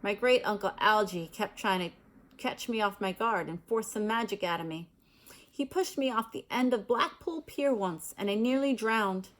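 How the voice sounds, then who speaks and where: A woman's voice: mid-range voice, normal speed, lightly acted, poor audio. A woman voicing an earnest, rambling young schoolboy in a storybook.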